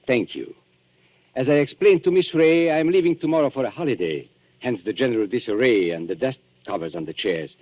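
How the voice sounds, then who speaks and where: An actor playing a smooth, mocking blackmailer with theatrical politeness in a 1940s radio thriller. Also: male voice, medium pitch, animated, brisk, lightly acted. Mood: oily politeness. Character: suave, sneering male blackmailer posing as antique dealer. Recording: muffled 1940s radio recording.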